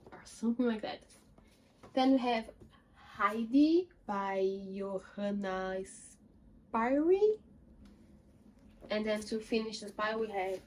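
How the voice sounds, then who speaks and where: Young woman, English, casual book vlogger, medium pitch, light background noise. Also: darkish tone, rough recording. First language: not English. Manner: chatty, listing titles, self-deprecating asides. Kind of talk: casual book haul chat.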